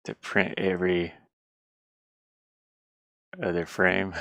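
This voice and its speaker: A slow, creaky voice talks through computer work in a casual, halting murmur, as if half to themselves. The sound is close and dry, in the manner of an informal screen-share. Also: low voice, flat delivery, a bit bright, no room sound. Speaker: indie game developer. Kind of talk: casual screen-share chat while working. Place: inside a van.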